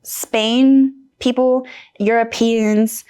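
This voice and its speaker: A woman's voice: a little high, steady tone, drawn-out words, warm tone, smooth. A young woman from North Carolina, a podcast guest chatting casually, talking bluntly and animatedly, with swearing and lots of "like".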